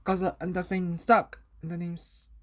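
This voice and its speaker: Lower-pitched woman reading a story, haltingly. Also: flat delivery, a little hiss or hum. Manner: halting, repeats and corrects words while reading. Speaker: reader of a children's story. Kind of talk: reading a children's story aloud.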